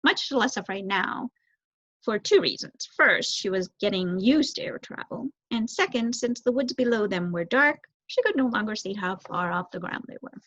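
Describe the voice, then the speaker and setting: A woman's voice: medium pitch, animated, normal speed, a bit bright, no room sound. Young woman reading a children's novel aloud, dry. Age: young adult. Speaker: student storybook reader. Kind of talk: expressive read-aloud narration of a children's novel. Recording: slightly dull, dry recording.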